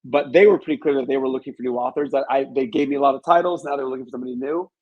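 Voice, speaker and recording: A man's voice: mid-range voice, racing, dull audio. Male interview guest, author type, modest and reflective, conversational storyteller.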